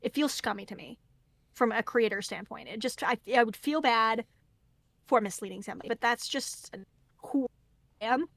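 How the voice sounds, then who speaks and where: A woman with a fairly high voice talks through creator advice in an earnest, candid way. She laughs easily. The recording is dry and close. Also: moderate pace, pauses often, fairly bright tone, dry recording. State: losing her voice. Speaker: guest content creator. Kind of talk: casual podcast conversation.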